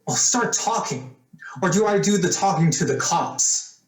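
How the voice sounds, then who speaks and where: A man's voice: high-pitched, expressive, measured pace, echoey room. An actor playing a suspicious, blunt young man making threats in an old-time radio suspense drama. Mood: accusing. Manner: blunt, tough.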